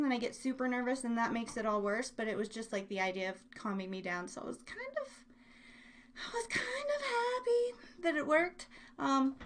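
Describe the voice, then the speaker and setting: A woman's voice: sits high, fairly bright tone. A woman hosting a live online show, chatting casually in a bubbly, playful, scattered way. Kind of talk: casual rambling livestream chat.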